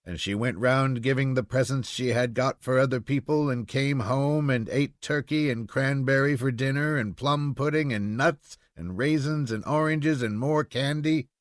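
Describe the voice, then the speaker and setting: A man reading a short story as scripted narration, in an expressive storytelling manner. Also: mid-range voice, normal speed, few pauses, no room sound, clean studio sound. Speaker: audiobook reader.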